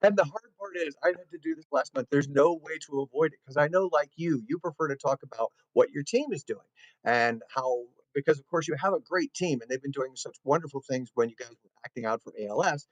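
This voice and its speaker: A man's voice: medium pitch, fast talker, dry recording, rough recording. A man hosting an interview show, chatting in a friendly way and praising his guest. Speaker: show host. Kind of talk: casual interview chat.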